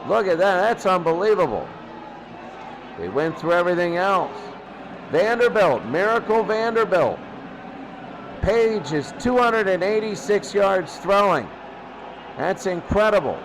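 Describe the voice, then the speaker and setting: A man hosting a sports show, giving off-the-cuff football score commentary in clipped fragments with pauses. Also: high voice, big pitch swings. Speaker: sports studio host. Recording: clearly noisy background.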